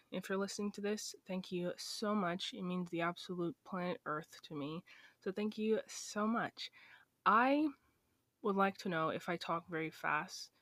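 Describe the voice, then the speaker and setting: A young woman narrates a true crime podcast, rattling through the story quickly in a flat, monotone voice. Her pitch sits in a middle range. The recording is dry and close, with no room sound. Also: no room sound. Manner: fast-talking, flat monotone delivery. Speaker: podcast host.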